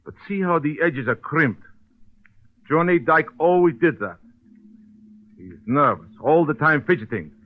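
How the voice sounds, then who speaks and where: A gruff, suspicious boss of the docks, pitched a little high for a man. He talks in blunt, broken phrases with a short temper, in old radio crime style on a dull recording. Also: expressive, a little slow, long pauses. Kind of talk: 1940s-50s radio crime drama acting.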